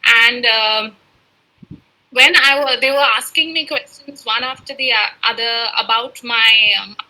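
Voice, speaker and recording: High, bright-voiced woman moderator. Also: low-quality recording. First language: Urdu. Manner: courteous, deferential, addresses the speaker as sir. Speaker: webinar moderator. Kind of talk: polite formal moderation.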